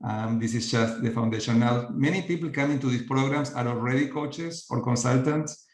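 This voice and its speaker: A middle-aged man from Argentina with an Argentine Spanish accent, hosting an online orientation for a coaching program in a steady explanatory way. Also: medium pitch, moderate pace, reverberant. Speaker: coaching program trainer, host. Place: virtual meeting.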